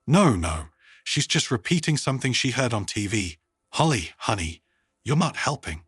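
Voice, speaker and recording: A man's voice: medium pitch, big pitch swings, moderate pace, a bit bright, husky, no room sound, studio quality, exaggerated voice. A man voicing an exasperated woman animal handler, patient but flustered, in a narrated sci-fi story.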